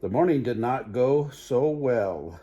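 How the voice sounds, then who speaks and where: An older man with a husky voice reads a picture book to children. He takes his time, at a slow pace, and breaks off for chatty asides and questions to the listener. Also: mid-range voice, animated, mellow. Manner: unhurried, pausing to question the listener. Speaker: grandfather reading children's books aloud. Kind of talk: read-aloud storytelling with chatty asides to young listeners.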